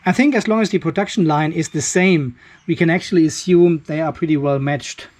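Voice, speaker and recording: A man with a notably high-pitched voice talks through a hands-on inspection of a device. He muses aloud, curious and relaxed, explaining each thing he finds as he goes. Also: brisk. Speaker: channel host reviewing a home battery.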